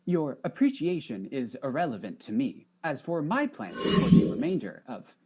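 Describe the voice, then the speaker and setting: A haughty robot butler, acted by a woman, with a very low, mellow voice that swings widely in pitch. The phrasing is formal, precise and literal, with cool insolence toward the humans it serves. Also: huge pitch swings, moderate pace, slightly rough, heavily acted. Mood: cold, smug.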